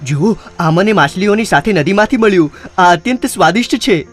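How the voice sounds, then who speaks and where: A man playing a humble, curious fisherman in a children's animated story, respectful and simple. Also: high voice, a little fast, faint music behind.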